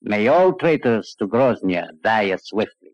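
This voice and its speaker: An actor playing a stern, courteous Balkan official in a 1940s radio drama, speaking formally and deliberately on a dull vintage recording. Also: male voice, on the low side, sing-song, dry recording. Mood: coolly menacing. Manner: formal, deliberate, with slightly foreign phrasing and hesitant uhs. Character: stern, courteous foreign male Balkan official, unyielding about swift justice.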